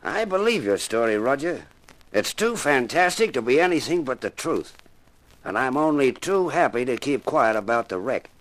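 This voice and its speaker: A man's voice: mid-range voice, sing-song, normal speed, lightly acted, low-quality recording. A man playing an affable, courteous forger on the run in a 1950s radio drama, speaking earnestly.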